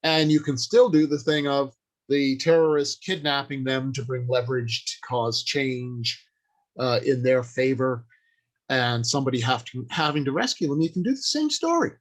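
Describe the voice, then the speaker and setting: An older man presenting an informal instructional talk in a halting, deliberate, explanatory way. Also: mid-range voice. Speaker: workshop presenter.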